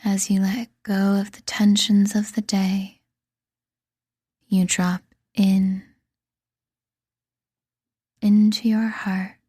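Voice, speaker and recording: A woman reading a scripted guided meditation in a soothing, deliberate manner, leaving pauses between phrases. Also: medium pitch, little pitch movement, mellow, husky, no room sound, studio quality. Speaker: meditation guide and podcast host.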